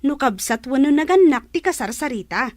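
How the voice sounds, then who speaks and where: An actress with a Filipino accent playing a suspicious, tattling young daughter in a radio drama. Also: female voice, high voice, animated, fairly quick, a little mellow, dry recording, studio quality. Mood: suspicious, indignant.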